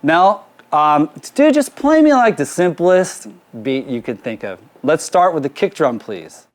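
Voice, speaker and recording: Male music producer, informal and directive, hands-on tutorial delivery, voice a bit high, faintly noisy drum studio sound. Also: sing-song, a little slow. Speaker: music producer giving a mixing demonstration. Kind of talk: casual hands-on tutorial, giving instructions to crew. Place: recording studio with drum kit and PA.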